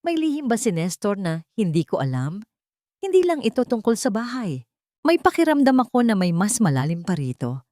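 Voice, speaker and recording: A woman's voice: medium pitch, sing-song, moderate pace, a little mellow, no echo, clean studio sound. A woman reading a scripted first-person dramatic story as its narrator.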